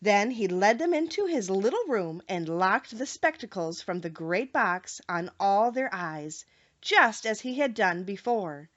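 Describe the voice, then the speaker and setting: A woman narrating an audiobook of a children's story in an expressive, carefully phrased way. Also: mid-range voice, measured pace, highs cut off.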